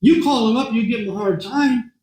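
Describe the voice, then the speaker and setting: A man with a hoarse voice speaking to a meeting as a guest speaker, talking in a conversational way, repeating words and often clearing his throat. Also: very high voice, brisk, darkish tone, roomy sound, poor audio. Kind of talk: talk to a meeting. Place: meeting room.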